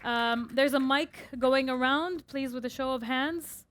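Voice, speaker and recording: A woman hosting an onstage interview, firing off quick, playful questions and steering the audience Q&A. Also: sits high, noisy background. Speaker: host and moderator. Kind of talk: rapid-fire onstage interview.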